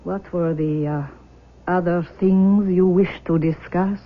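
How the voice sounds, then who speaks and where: An actress playing a formal, imperious mother in a 1970s radio drama, speaking in a haughty, deliberate manner. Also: fairly low pitch, fairly even intonation. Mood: assertive, controlled. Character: formal, imperious Russian mother demanding her daughter. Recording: dull vintage radio broadcast.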